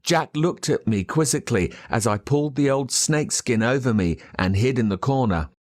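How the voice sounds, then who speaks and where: An Australian man reading a story aloud as a dramatic narrator, expressive and measured with telling pauses. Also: medium pitch, no room sound, studio quality. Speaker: audiobook narrator. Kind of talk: dramatic scripted storytelling in first person.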